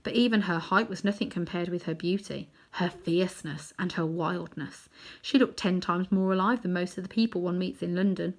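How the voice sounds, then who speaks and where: A woman reading a children's novel aloud in chapters, adding gentle asides to her listeners. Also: on the low side, moderate pace. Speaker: storybook reader.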